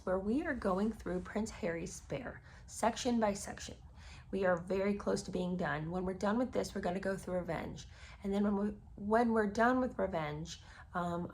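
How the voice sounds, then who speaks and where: A woman hosting a casual commentary podcast, hoarse with her voice cracking, talking in an exasperated, sarcastic tone. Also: medium pitch, slight background noise. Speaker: podcast host. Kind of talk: casual commentary reading a memoir.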